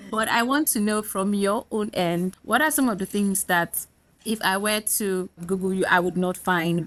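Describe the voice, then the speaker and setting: A woman's voice: mid-range voice, a bit bright. A woman with a Nigerian accent hosts a podcast interview, talking in a chatty and upbeat way. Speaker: podcast host.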